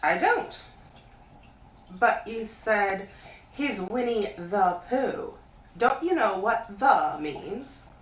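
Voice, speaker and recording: Little storybook boy, inquisitive and insistent a woman acts him out, animated intonation, away from the mic. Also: medium pitch, drawn-out words. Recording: echoey room, distant mic, faint hiss.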